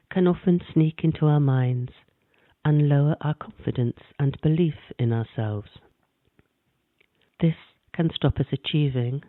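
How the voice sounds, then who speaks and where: A man gently narrating a guided meditation in a calm, measured way. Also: a little high, no echo. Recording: dry, tinny phone-like sound.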